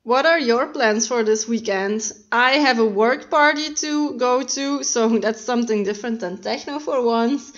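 A woman's voice: fairly high pitch, normal speed, hardly any pauses, lo-fi sound, soft background music. A woman in her thirties chatting casually to viewers in a cleaning vlog, affectionate and asking them questions. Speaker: cleaning vlogger. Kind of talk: casual voice-over chat to viewers. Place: home living room.

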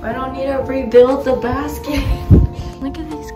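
A young woman in her twenties filming a casual road trip and moving vlog on her phone, giggly and excitable, sometimes dropping to a whisper. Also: high voice, measured pace. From Pacific islands. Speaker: vlogger. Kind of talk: casual home video chat. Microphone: handheld phone camera. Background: loud music bed.

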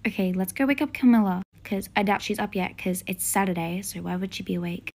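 A woman's voice: mid-range voice, fast, darkish tone, close to an everyday voice. A woman with an Australian accent, playing an exasperated mother of a teenage daughter in a homemade family skit, scolding and pleading by turns. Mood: brisk.

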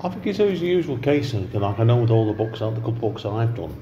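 A man's voice: medium pitch, big pitch swings, fast, muffled recording. A man hosting a casual live interview, chatting in a rambling way. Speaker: podcast host interviewing guests. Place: live in a taproom bar. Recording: echoey, noisy live venue recording.

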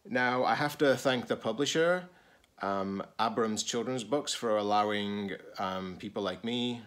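A middle-aged man, a teacher-librarian, reading a children's picture book aloud deliberately, in short phrases with pauses. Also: fairly low pitch, fairly bright tone.

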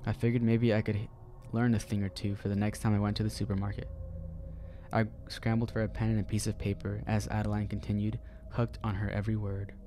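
A man narrating a horror story in a calm, measured storytelling voice. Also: fairly low pitch, slight background noise.

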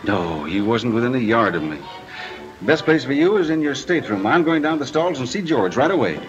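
An actor playing a brisk, suspicious man worried about threatening notes in a 1930s film. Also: medium pitch, very animated, music in the background, close to an everyday voice. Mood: tense. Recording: dull lo-fi old film sound.

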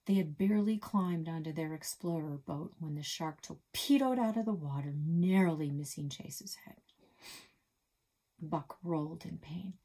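A woman in her forties reading a children's book aloud expressively from a small office, often dropping to a soft whisper, recorded on a desk webcam. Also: sits low, a little slow, slightly rough. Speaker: library storyteller. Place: small office with bulletin board.

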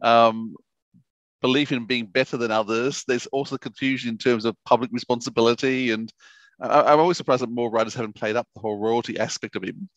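A man's voice: mid-range voice, steady tone, fairly quick, dry recording, dull audio. Middle-aged man, dry close headset sound. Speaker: podcast interviewer. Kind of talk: casual interview chat.

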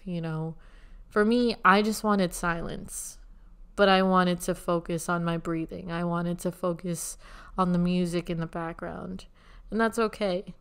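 Soothing, smooth-voiced woman podcaster. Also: fairly low pitch. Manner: gentle, talks listeners through a short guided meditation. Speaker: podcast host. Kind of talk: casual solo podcast talk. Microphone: USB studio microphone.

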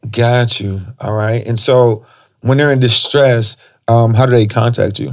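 A man's voice: mid-range voice, steady tone, unhurried. Laid-back American man hosting a podcast. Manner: laid-back, teasing, slangy. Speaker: podcast host. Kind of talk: casual chat interview. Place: small foam-treated podcast studio. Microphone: close boom-arm studio microphone.

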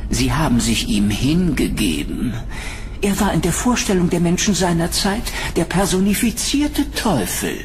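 An actor playing an eccentric, menacing painter in a German horror audio drama, theatrical, flattering and insistent. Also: male voice, a little high, slight background noise. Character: eccentric, menacing male painter of macabre nightmare art.

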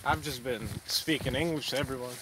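A man's voice: medium pitch, fairly even intonation, fairly quick, noisy background. A young man chatting casually with a friend outdoors at night, laid-back and swearing casually. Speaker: visiting friend. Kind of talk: casual chat.

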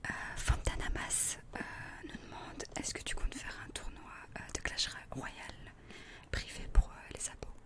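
A woman, reading questions haltingly in a breathy ASMR whisper close to the microphone. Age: 20s. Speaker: co-host reading out viewer questions. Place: small attic room indoors. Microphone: desk condenser microphone, close.

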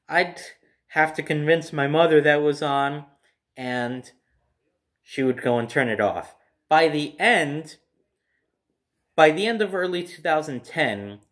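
A man's voice: on the high side, fairly bright tone. A young man telling a personal story casually to the camera. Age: young adult. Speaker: storyteller, vlogger. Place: living room at home, by a window.

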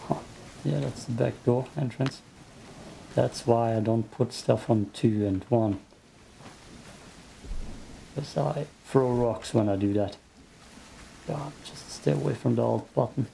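Gravelly Austrian man streaming a game. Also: mid-range voice, slow pace, long pauses, mellow, slight background noise, low-quality recording. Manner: chatty, muttering to himself, frustrated asides. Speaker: gameplay streamer. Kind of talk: casual let's play commentary.

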